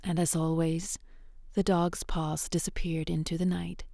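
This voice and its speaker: Low, creaky woman narrator, slow. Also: level tone, dark tone, no room sound, clean studio sound. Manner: measured, suspenseful short phrases. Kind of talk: scripted dark fairytale narration.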